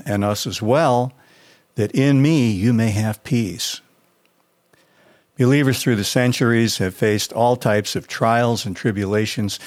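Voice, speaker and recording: A man with a fairly low voice and an American accent reads a scripted devotional as a pastor. His delivery is that of a prepared reflection on scripture, spoken directly to the listener.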